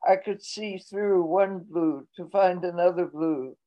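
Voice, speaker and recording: Older woman slowly reading her poems. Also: lowish voice. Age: 80s. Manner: slow, measured reading voice. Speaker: poet reading her work. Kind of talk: poetry reading. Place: home room, indoors. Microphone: laptop webcam on video call. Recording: dull lo-fi video call audio.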